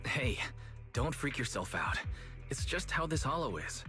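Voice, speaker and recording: An actor playing a calm, reassuring young male investigator in a video game, composed and matter-of-fact. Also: medium pitch, unhurried. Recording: game music behind the voice.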